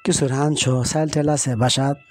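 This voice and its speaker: A man's voice: a little high, little pitch movement, fairly quick, natural voice. A man voicing a poor, worried village labourer in a cartoon, with a rural Bangladeshi accent and a plaintive, muttering manner. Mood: dejected. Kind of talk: colloquial cartoon dialogue.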